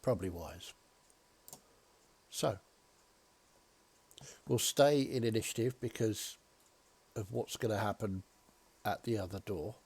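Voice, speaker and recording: A man's voice: on the low side, takes it slowly, vocal fry, pauses often, a little mellow, slightly rough. A man running a tabletop game as dungeon master, dry and teasing as he calls turns and rolls.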